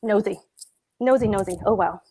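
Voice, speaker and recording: A woman's voice: medium pitch, moderate pace, dark tone, breathy edge. A woman reading the part of a pompous, anxious husband, a fussy government clerk, at a table read of a stage comedy. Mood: grumbling. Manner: pompous, hectoring, fretful.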